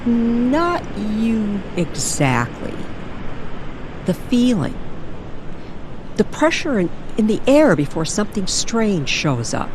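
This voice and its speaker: A woman voicing a patient, watchful older woman in an old-time radio style paranormal drama, speaking in halting, reflective phrases. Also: mid-range voice, very animated, background noise, voice pushed up. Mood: wistful, knowing.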